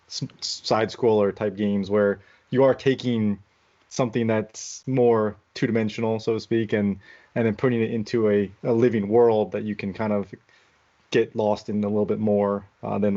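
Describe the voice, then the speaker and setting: A man's voice: fairly low pitch, steady tone, normal speed, creaky, dark tone. A man co-hosting a podcast, talking casually as he shares his opinions in a group discussion. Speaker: podcast co-host. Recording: dull, lo-fi audio.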